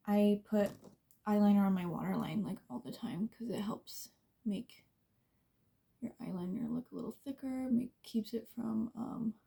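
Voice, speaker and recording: A young woman with a scratchy voice, chatting casually and rambling as she does her makeup, often dropping into soft near-whispers. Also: medium pitch, creaky. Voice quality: scratchy smoker's voice. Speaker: beauty vlogger. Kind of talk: casual chat while doing makeup.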